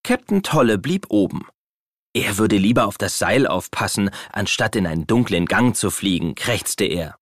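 A man's voice: on the low side, lively intonation, moderate pace, no echo, studio quality. A man narrating a children's audio play in scripted storybook style.

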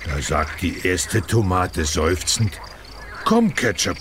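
A good-natured elephant from a children's audio drama. The voice is low and ponderous, with lively swings in pitch and a slow, drawn-out joke-telling delivery. Faint ambience sits behind it. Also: male voice, noisy background, heavily acted. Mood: jovial joke-telling. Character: good-natured male talking cartoon elephant telling corny jokes.

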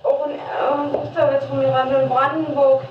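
A woman's voice: sits high, level tone, moderate pace, distant mic. A woman chatting casually with family on an old 1980s home cassette recording. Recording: dull, noisy, echoey 1980s home cassette.

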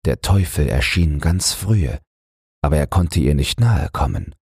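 A man narrating a fairy tale as a bedtime audiobook, in a low, husky, breathy voice. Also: expressive, a little slow, a little mellow, no echo, clean studio sound.

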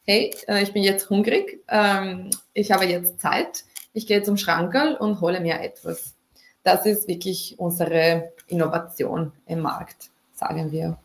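A woman's voice: fairly low pitch, unhurried. A woman from Italy, a startup co-founder answering interview questions at length with many fillers. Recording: roomy echo.

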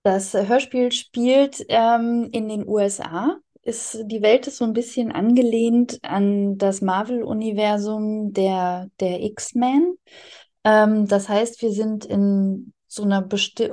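A woman's voice: mid-range voice, dark tone. A woman explaining things conversationally as an interview guest and author.

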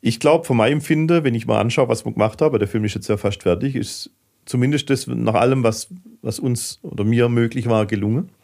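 A man's voice: mid-range voice, brisk, vocal fry. Swabian-accented man telling stories casually. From southern Germany. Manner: fidgety, animated storyteller with dialect. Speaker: podcast guest, filmmaker. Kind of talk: casual conversational storytelling.

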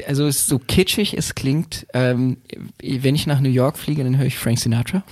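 A man, a guest DJ chatting in a relaxed way with lots of fillers and restarts. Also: medium pitch, normal speed. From Berlin, Germany. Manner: hesitant, many fillers and restarts, laughs easily. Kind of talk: relaxed conversational podcast chat.

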